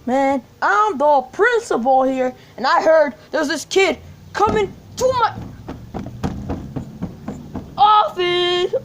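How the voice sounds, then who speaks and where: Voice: extremely high pitch, expressive, slow, pauses often, a bit bright, a little hiss or hum. A puppeteer improvising a homemade puppet show in an informal, repetitive way, playing several characters. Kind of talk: improvised amateur puppet-show play-acting.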